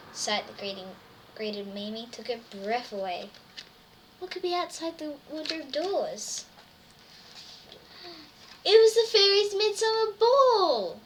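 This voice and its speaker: A woman reading a children's storybook aloud expressively, full of wonder and exclamation, addressing young listeners. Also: fairly high pitch. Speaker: storybook reader. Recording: distant mic, faint background noise.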